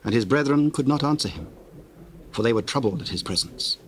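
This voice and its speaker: Solemn mid-pitched male scripture narrator. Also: expressive, slight background noise. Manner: measured, solemn, formal.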